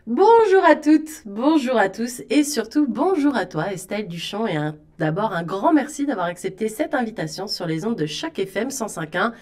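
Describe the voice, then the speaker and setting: A woman's voice: on the high side, sing-song, moderate pace, few pauses, darkish tone, faint music behind. Chatty Parisian woman radio host. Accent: Parisian French. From France. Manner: chatty, friendly questions. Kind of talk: radio interview.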